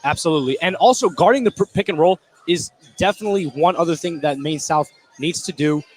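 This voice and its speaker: A man with a somewhat high voice works as color commentator on a live basketball broadcast. His delivery is casual and informal, made of interjected reactions and bits of analysis. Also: fairly quick. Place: indoor basketball game, live.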